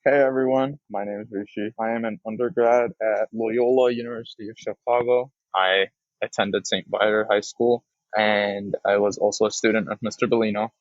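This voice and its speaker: A man's voice: on the low side, fairly even intonation, normal speed, no echo. A man in his late teens from Dubai, a student guest explaining his experience informally. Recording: dull, dry lo-fi recording.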